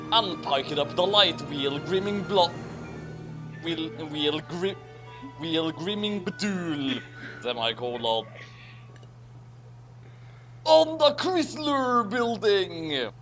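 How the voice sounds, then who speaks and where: Guy kinda high voice, slow, hosting a read-aloud, tripping on words, bit of music behind. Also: expressive. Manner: stumbles over words while reading aloud. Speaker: host of a group fan-fiction reading. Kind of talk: casual commentary and halting read-aloud of garbled text. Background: background music.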